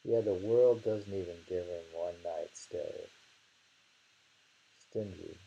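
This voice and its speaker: A man reading translated poetry aloud in a deliberate way and commenting on it casually, on a dull recording. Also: low voice, gravelly, warm tone, slightly rough. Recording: dull lo-fi home recording with hiss.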